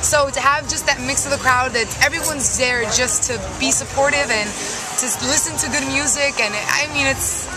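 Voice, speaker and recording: Chatty young woman over loud party music. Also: high voice, fairly quick, low-quality recording. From Colombia, raised in Miami. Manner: chatty, many fillers, laughs often. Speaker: musician guest. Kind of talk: casual interview. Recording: loud music and crowd noise. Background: loud music and crowd.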